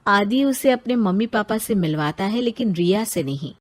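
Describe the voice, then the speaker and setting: A woman's voice: mid-range voice, expressive, normal speed. A woman narrating a scripted story.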